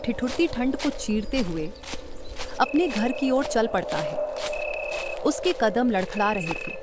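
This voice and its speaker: Heavy background noise surrounds a woman narrating a dramatic scripted tale. Her voice is somewhat high, and she takes the story slowly. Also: expressive. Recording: loud ambient noise behind the voice.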